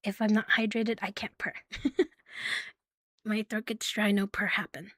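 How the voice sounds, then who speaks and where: A woman livestreaming ASMR in a playful, cutesy catgirl manner, soft and breathy, often whispering, close to the microphone. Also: medium pitch, flat delivery, unhurried, no room sound. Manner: playful, cutesy catgirl persona, with giggles and purring. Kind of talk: ASMR, intimate chat to live listeners.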